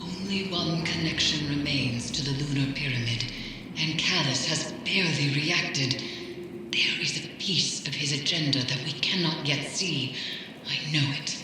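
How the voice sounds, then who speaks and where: An actress playing a grave, suspicious occultist in a video game briefing, speaking in a portentous, formal, deliberate way. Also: female voice, sits low. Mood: frustrated, resolute. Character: brooding, mystical woman occultist, grave and suspicious. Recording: very echoey long reverb, noisy ambience.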